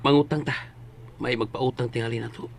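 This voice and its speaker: A man's voice: mid-range voice, steady tone, a little fast, gravelly. An actor playing a poor, protective older father in a Filipino radio drama, earnest, emotional and pleading. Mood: worried. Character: poor, worried, protective older father, gentle but anguished. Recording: music bed behind.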